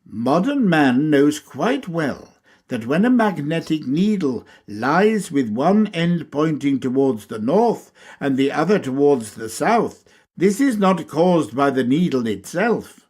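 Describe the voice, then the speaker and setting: Male lecture reader: formal, didactic, unhurried and measured, with very varied intonation. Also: on the high side, very animated, darkish tone, husky. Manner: deliberate, measured phrasing. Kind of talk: scripted lecture narration.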